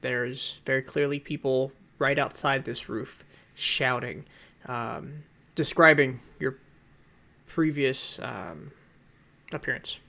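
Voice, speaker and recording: Gravelly man running a tabletop game. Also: mid-range voice, slow, sharp tone. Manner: hesitant narration with many fillers. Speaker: game master. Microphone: computer mic on an online voice call.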